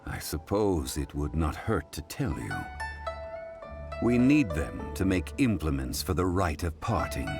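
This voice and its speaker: A man playing a composed, dignified gentleman in a video game, with formal and measured speech. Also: deep voice, big pitch swings, over music, full character voice.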